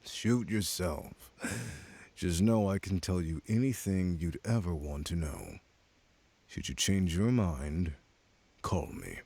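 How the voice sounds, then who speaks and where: A smug, menacing male crime boss for a noir video game. The voice is very deep and husky. He talks down to listeners in cryptic, measured phrases with lively swings of pitch. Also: exaggerated voice. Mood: calm bargaining. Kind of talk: scripted video game voice acting.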